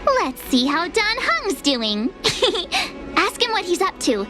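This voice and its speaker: A woman's voice: extremely high pitch, very animated, slow pace, heavily acted. An actress playing a bubbly, carefree teenage girl adventurer in a video game cutscene. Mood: cheerful, playful. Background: music.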